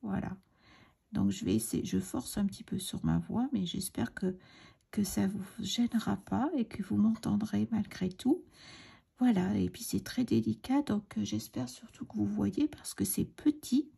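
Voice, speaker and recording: A middle-aged woman with a cold, hoarse and breathy, chatting hesitantly and often half-whispering as she explains a crafting tutorial. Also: mid-range voice, unhurried, warm tone. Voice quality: raspy, breathy, gravelly. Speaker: card-making tutorial host.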